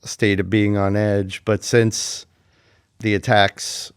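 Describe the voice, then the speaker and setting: Guy hosting an interview, low smooth voice, easygoing, dry sound. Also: flat delivery, takes it slowly, dry recording. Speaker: interview host. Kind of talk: conversational interview.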